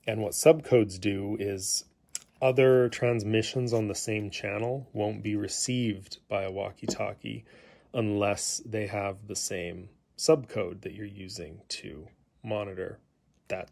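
A man's voice: lowish voice, gravelly, darkish tone. A man giving a casual, unscripted gadget tutorial, explaining things in a hesitant, rambling way. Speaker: hobbyist demonstrating a gadget. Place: indoors in a living room.

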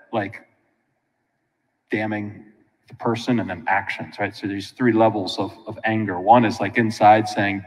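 American priest preaching in a reverberant church. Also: male voice, on the low side. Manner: colloquial and animated, with frequent asides to listeners. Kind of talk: informal conversational sermon. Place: large church sanctuary, indoors.

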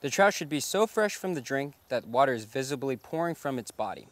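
Young male instructor, clear and steady, reads scripted how-to narration. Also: mid-range voice, a little fast. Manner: clear, steady, explanatory delivery. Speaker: fly fishing instructor. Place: outdoors by a pond.

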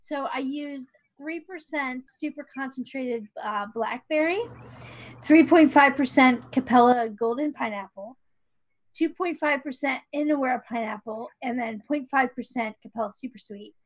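A woman's voice: high-pitched, moderate pace, rough recording. A woman panelist on a video call, presenting a recipe in casual, explanatory talk. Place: bedroom at home.

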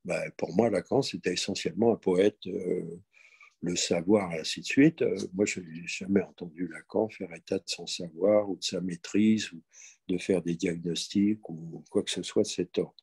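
A man in his seventies speaking reflectively and haltingly as he leads an online discussion, pausing often to think. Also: sits low, fairly even intonation, creaky, darkish tone, slightly rough, no echo. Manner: hesitant, halting, thoughtful. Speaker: discussion host, psychoanalyst. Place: indoor room at home, on a video call.

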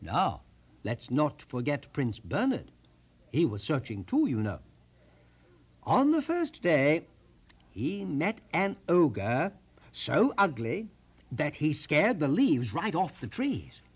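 A man reading a children's story aloud in an expressive, theatrical way, lingering deliberately on the descriptions. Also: on the high side, husky. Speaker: storyteller host.

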